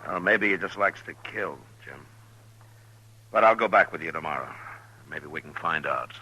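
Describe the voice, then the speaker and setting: An actor playing a weary, grave, laconic frontier lawman in a measured, understated 1950s radio drama style. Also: sits low, background noise, lightly acted. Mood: dry, probing. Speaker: lead actor and narrator. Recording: old 1950s radio recording, music behind.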